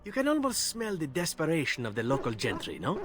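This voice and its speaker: A man's voice: a little high, huge pitch swings, brisk. A man playing a wry, sardonic adventurer in a fantasy game, speaking with theatrical sarcasm and teasing. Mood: wry mockery.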